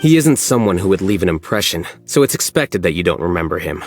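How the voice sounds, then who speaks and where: An actor playing an aloof, rational young scholar in an anime game, deadpan, measured and coolly sardonic. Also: male voice, low voice. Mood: dry, sardonic. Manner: deadpan, measured, formal wording. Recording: faint music behind the voice.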